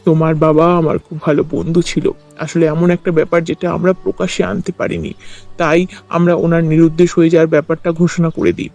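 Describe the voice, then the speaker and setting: An actor playing a regretful senior army officer, solemnly explaining things in a cartoon story. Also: male voice, on the high side, little pitch movement, a little fast, a little mellow, close to an everyday voice. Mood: grave, regretful. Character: grave, regretful senior army officer, old family friend. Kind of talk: dramatized cartoon story acting. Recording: music under the voice.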